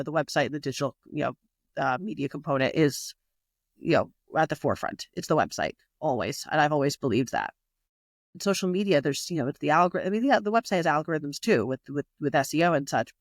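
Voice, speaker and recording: A woman's voice: sits low, lively intonation, quick pace, dry recording, studio quality. Chatty woman guest, full of fillers. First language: not Italian. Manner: rambling, heavy on fillers and restarts. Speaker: magazine publisher and guest. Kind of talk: casual podcast interview.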